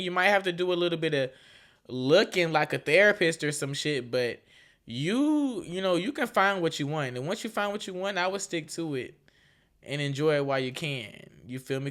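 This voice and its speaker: A woman's voice: lowish voice, lively intonation, moderate pace, fairly bright tone. A young woman in her twenties hosts her own podcast alone, chatting loosely and frankly with plenty of slang and cursing. She rambles, restarts her sentences, and keeps checking in with the listener. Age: 20s. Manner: slangy, frank and profane, with frequent "you feel me" asides. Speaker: podcast host. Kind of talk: casual solo podcast chat.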